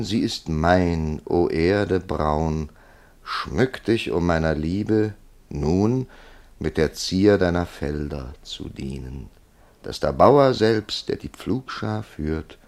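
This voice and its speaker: A world-weary writer telling his story in a radio play. His voice is very low and gravelly, and he takes his time with a dry, ironic, literary delivery, recorded close and dry. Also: animated, dry recording, full character voice. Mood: declaiming verse. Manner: measured, wry, literary storytelling. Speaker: narrator. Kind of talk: German literary radio drama narration.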